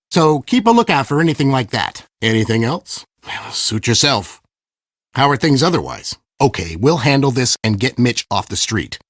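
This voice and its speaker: An actor playing a smug, impatient schemer who poses as a police detective in an adventure game, with a condescending, theatrical delivery. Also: male voice, medium pitch, fairly bright tone, no room sound, studio quality. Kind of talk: scripted game character dialogue.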